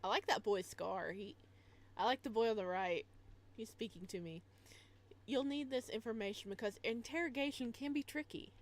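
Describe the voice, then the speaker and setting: A woman with a fairly high voice hosts a casual live game stream. She reads on-screen text aloud, sometimes haltingly, and mixes in chatty comments for her viewers.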